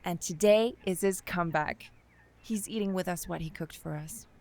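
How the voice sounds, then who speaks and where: A woman's voice: lowish voice, moderate pace, a little mellow, natural voice. A woman playing a proud, affectionate middle-aged mother in an audio drama.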